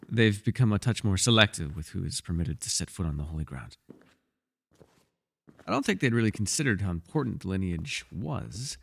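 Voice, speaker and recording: A man's voice: on the low side, vocal fry. A man playing a mysterious elf mage in a fantasy ASMR roleplay, a patient mentor who muses wryly in formal, old-fashioned phrasing. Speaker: voice actor.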